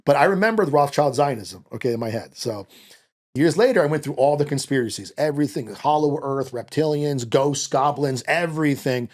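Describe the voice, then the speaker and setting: A man's voice: fairly high pitch, a little fast. A middle-aged man from the United States telling personal stories as a podcast guest, casual, blunt and profane, acting out dialogue. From United States, born in Italy. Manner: animated, blunt, profane, acts out quoted dialogue. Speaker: podcast guest, former Marine. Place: small podcast studio, indoors. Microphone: close podcast microphone on a boom arm.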